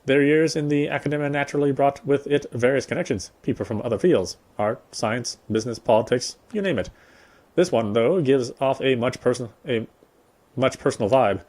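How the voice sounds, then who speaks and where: A man reading a visual novel's story narration aloud as a gameplay streamer, in a measured way. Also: medium pitch, darkish tone.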